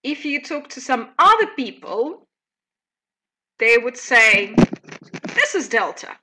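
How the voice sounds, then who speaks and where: A woman in her thirties with a Russian accent, presenting a live teaching webinar in a chatty, lively way with many fillers. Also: fairly high pitch, takes it slowly, poor audio.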